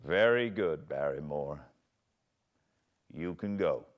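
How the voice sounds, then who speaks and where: A British man voicing a young baronet who questions his servant in a brisk, direct manner. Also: very deep voice, expressive, phone-quality sound, full character voice. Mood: inquiring.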